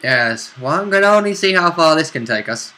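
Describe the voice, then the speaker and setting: Bright, slightly high-voiced joking male player. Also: animated, brisk. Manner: joking, chiming in with short asides. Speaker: player in a tabletop role-playing game. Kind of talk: casual chat.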